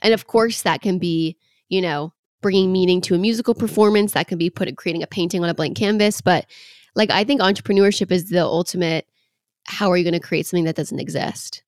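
A woman's voice: fairly low pitch, fairly quick, no room sound, clean studio sound. A woman hosting a casual interview podcast, chatty and enthusiastic. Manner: enthusiastic, chatty, lots of likes. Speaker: podcast host.

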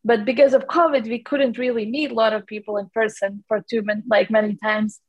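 A woman's voice: on the high side, fairly even intonation, brisk, highs cut off. A woman from Latvia with a Latvian accent, a documentary producer answering interview questions in a rambling way with many fillers and restarts.

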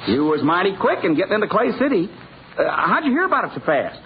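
A chatty, boastful blacksmith of the Old West, with a high American voice that bounces up and down. He talks in a folksy, rustic way, friendly and full of himself, on a tinny 1950s radio western recording. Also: very animated, quick pace, background noise. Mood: gossipy. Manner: folksy, garrulous, rustic Western drawl and grammar.

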